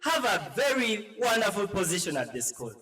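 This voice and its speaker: A man's voice: sits high, very animated, normal speed, bright, forceful tone, in a large hall. A man, a TV presenter interviewing students at a school event in a playful, teasing, sing-song way. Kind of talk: casual on-the-spot interview.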